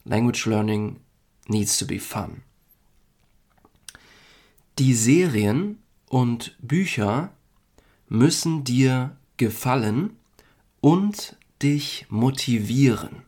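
A man from Germany hosting a podcast, reading a lesson for learners in slow, deliberate, clearly spaced phrases. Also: mid-range voice, expressive, darkish tone. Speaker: podcast host teaching German.

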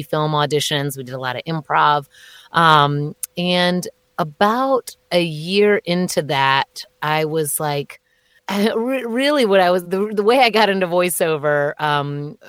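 Deep-voiced woman chatting with many restarts. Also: a bit bright, smooth, no room sound. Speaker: voice actor guest. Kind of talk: casual interview chat. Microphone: remote call.

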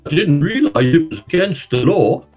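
A man's voice: a little high, lively intonation, normal speed, darkish tone, faint music behind, voice pushed up. A man voicing a sheepish, easygoing dad who answers back mildly. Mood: defensive.

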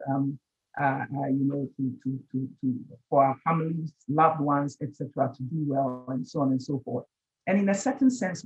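A man in his forties from Ghana, a professor being interviewed, explaining in a measured way with repeated stumbles on words. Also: a little high, breathy edge. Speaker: professor, guest. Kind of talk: interview answers. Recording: dull remote call audio.

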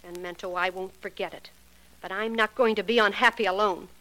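An American actress playing an unhappy, lovesick young magician's assistant, bitter and resigned, in a 1940s radio melodrama. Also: female voice, medium pitch, steady tone, a little fast, fairly bright tone, close to an everyday voice, poor audio. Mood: bitter, threatening.

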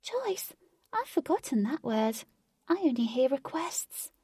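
A woman voicing a shy, nervous female fairy who has spent years shut in a box, speaking in a timid, breathy whisper. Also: high voice, sing-song, unhurried, warm tone, no echo, studio quality, far from the mic, pitched above the performer's own voice, full character voice. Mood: fearful.

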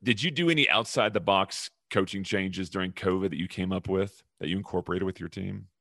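A man interviewing a coach, asking questions and giving relaxed affirmations. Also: deep voice, brisk, gravelly, dry recording, clean studio sound.

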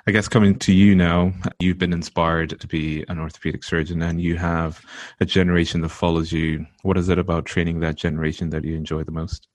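A man's voice: deep voice, flat delivery, fairly quick, hardly any pauses, no room sound. A man hosting a podcast interview, asking questions in an upbeat, friendly way. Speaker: podcast host.

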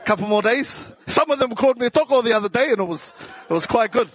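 A man's voice: extremely high pitch, expressive, quick pace, a bit bright, slightly rough. A man with a South Auckland New Zealand accent telling stories casually on stage as a comedian, joking and self-deprecating in slangy speech. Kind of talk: casual comedic live storytelling.

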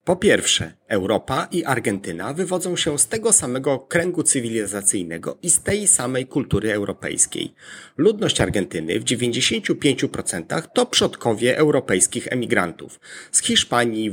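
A man presenting a scripted explainer in a deliberate, point-by-point manner. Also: medium pitch, creaky, a bit bright, a little hiss or hum.

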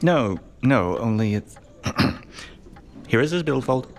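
An admiring, formal male sidekick in a comic detective audio drama. His intonation is lively and wide, earnest with a touch of hesitancy. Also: medium pitch, takes it slowly, soft background music. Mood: flustered. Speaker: sidekick and narrator. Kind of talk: comic period murder-mystery audio drama narration and dialogue.

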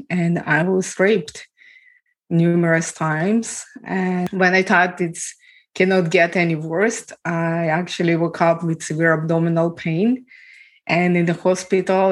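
A woman from Ukraine speaking English with a Ukrainian accent and small grammar slips, telling her life story as an interview guest in a steady, matter-of-fact way. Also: lowish voice. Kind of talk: personal testimony in an interview.

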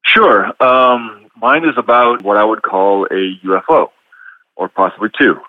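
A deep-voiced middle-aged man calls in from a phone. He tells a long story in an unhurried, rambling way, with plenty of ums, and the line sounds tinny and dry. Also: no echo. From Arizona. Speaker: caller. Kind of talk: storytelling on a call-in show.

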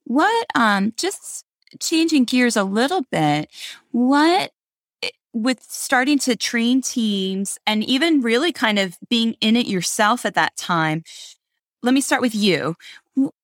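A woman's voice: a little high, very animated, slow, no room sound, clean studio sound. A woman hosting a podcast interview, friendly and encouraging as she asks questions. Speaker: podcast host.

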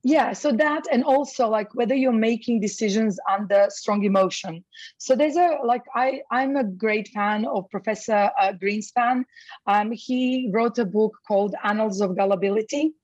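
A woman's voice: fairly high pitch, normal speed, a little mellow, no echo, highs cut off. Chatty woman from Croatia, dry sound. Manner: thoughtful, many fillers like "you know". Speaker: guest, scam expert. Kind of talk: casual interview talk.

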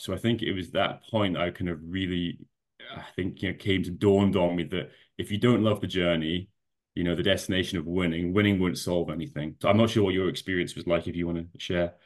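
A man from Scotland, a guest on a podcast, talking casually about competing and leaning heavily on "you know" and restarts. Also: low voice. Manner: rambling, many fillers like "you know". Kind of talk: casual conversational interview.

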